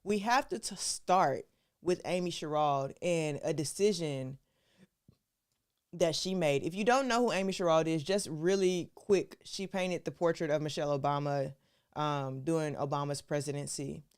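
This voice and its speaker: A woman's voice: low voice, moderate pace, clean tone. A woman hosting a live stream, talking to her viewers in a casual, chatty way and calling them y'all. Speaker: show host.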